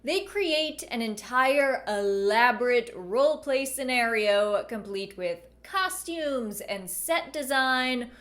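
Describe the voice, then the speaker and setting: Wry woman with a lively, higher voice. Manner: wry, deadpan. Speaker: video essayist and host. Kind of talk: conversational commentary to camera.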